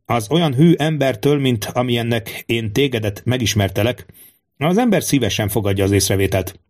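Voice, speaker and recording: A man's voice: medium pitch, lively intonation, a little mellow, close to an everyday voice. A wily king, acted out by a male narrator for a storybook. He muses rhetorically and theatrically, distrusting everyone while sounding fatherly and scheming. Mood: fatherly, persuasive.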